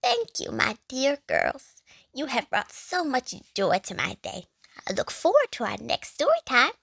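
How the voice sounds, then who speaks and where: A woman playing a kindly, devout elderly village neighbor in a children's audio drama, telling stories gently with hushed phrases. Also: high voice, wildly swooping pitch, measured pace, vocal fry, husky, no room sound, studio quality, full character voice. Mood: grateful, warm.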